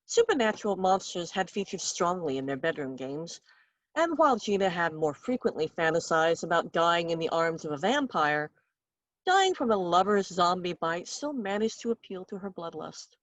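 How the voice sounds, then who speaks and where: A woman reading her own short story aloud in an expressive, wry and dramatic storytelling manner. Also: on the low side, moderate pace, no echo, rough recording.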